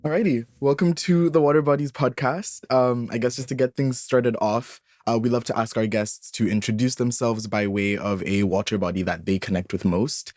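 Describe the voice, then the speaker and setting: A man's voice: mid-range voice, steady tone, moderate pace, hardly any pauses, darkish tone, no echo, studio quality. A man hosting a podcast, asking casual questions with fillers. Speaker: podcast host. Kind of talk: podcast interview.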